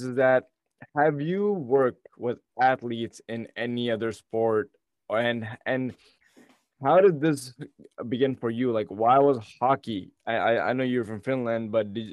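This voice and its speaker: A man's voice: medium pitch, a little mellow, no room sound, clean studio sound. A man hosting an interview podcast, talking conversationally and asking rambling questions. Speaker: podcast host.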